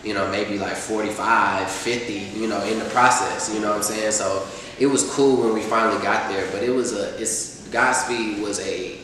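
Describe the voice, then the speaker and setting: A man's voice: fairly low pitch, steady tone, normal speed. A young man, a rapper, chatting casually in an interview, rambling with lots of fillers. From Atlanta, US. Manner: laid-back, rambling with many fillers. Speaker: rapper guest. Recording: echoey room, far from mic, faint noise.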